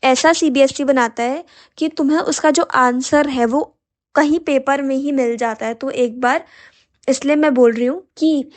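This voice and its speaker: A young woman teaching an exam-prep lesson with a hoarse, sore voice, chatting directly to her students in a mix of Hindi and English. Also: high-pitched.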